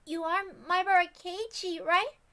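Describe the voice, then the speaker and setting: A woman's voice: extremely high pitch, steady tone, measured pace, voice pushed up, heavily acted. A woman voicing a timid, worried teenage girl in a visual novel, speaking hesitantly and anxiously. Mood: nervous. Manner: hesitant, soft-hearted, anxious.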